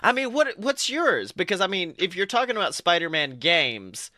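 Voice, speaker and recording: A man's voice: sits high, sing-song, normal speed, bright, forceful tone, no room sound, studio quality. A man co-hosting a casual pop culture podcast, talking emphatically with strong opinions and free swearing. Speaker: podcast co-host. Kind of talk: casual podcast banter about films and comics.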